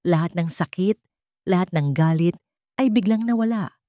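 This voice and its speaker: A woman's voice: fairly low pitch, normal speed, warm tone, no echo, studio quality. A woman reading scripted fiction as a narrator, in a solemn, emotive storytelling style.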